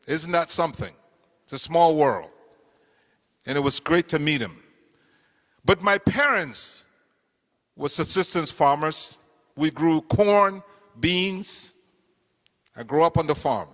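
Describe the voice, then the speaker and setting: An older man from Cameroon speaks to a crowd in a meeting hall, his voice bright, forceful and pitched a little high. He tells his story earnestly, leaning on words slowly. The sound carries a long hall reverb. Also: animated. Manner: earnest, storytelling, slow deliberate emphasis. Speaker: political candidate speaking at a meeting. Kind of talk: campaign speech.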